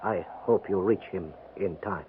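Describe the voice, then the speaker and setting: Casting: grave frontier messenger, lowish voice, measured, old 1950s broadcast with faint noise. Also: male voice, phone-quality sound. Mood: solemn. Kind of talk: 1950s radio drama acting. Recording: thin, faintly noisy 1950s radio recording.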